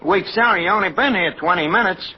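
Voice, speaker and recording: A man's voice: sits high, fast, breathy edge. A man with a New York accent playing a cowardly, wisecracking tavern manager who mangles his grammar in a 1940s radio comedy. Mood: nervous, placating. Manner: streetwise, mangled grammar, mock-formal. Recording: muffled, very noisy old radio recording.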